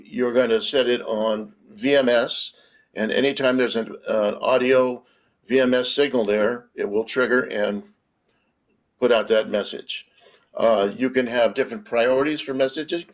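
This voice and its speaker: A man presenting a product as a webinar guest, explaining technical details informally with halting pauses mid-phrase. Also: mid-range voice. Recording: dull, lo-fi online call audio.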